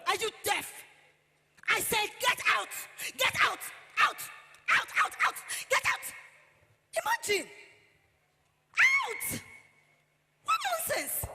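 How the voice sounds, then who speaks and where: A woman's voice: very high-pitched, huge pitch swings, takes it slowly, pauses often, sharp tone, shouting, heavily acted. A woman playing an imperious, short-tempered madam of the house in a theatrical stage play, scornful and bossy. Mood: outraged. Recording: noisy hall with long reverb.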